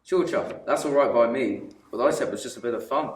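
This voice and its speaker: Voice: medium pitch, a little fast, natural voice. A young actor playing a cheeky, teasing working-class holidaymaker man in a school radio play. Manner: teasing, loud and lively. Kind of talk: amateur radio play acting. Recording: roomy echo, distant mic, faint hiss.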